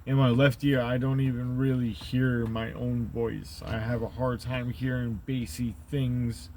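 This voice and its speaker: Deep, gravelly man, slow and rambling. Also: little pitch movement, a little mellow, a little hiss or hum. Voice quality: deep, rumbly voice. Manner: profane, conspiratorial, keeps asking "right?". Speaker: channel host. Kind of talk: rambling monologue.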